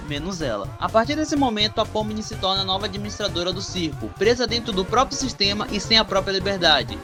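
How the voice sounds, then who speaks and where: A Brazilian Portuguese speaker presenting a scripted fan commentary in an expressive, conversational way, addressing the audience directly. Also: medium pitch. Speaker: video presenter.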